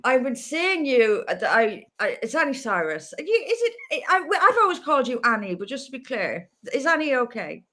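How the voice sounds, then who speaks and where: A woman hosting an interview, asking halting questions with many fillers. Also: high-pitched, big pitch swings, pushed and bright. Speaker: host. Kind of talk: casual interview.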